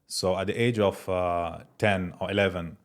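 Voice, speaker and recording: Young guy from france, low voice, chill podcast guest, lots of bro and man. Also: normal speed. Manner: relaxed, many fillers like "bro" and "man". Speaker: guest entrepreneur. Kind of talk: casual interview chat.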